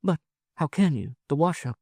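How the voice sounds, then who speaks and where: A man voicing an anxious, tender young woman in love in an audiobook, soft, hesitant and pleading. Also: on the high side, expressive, normal speed, breathy edge, no echo, clean studio sound, voice pushed up. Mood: anxious, torn. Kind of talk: audiobook character dialogue.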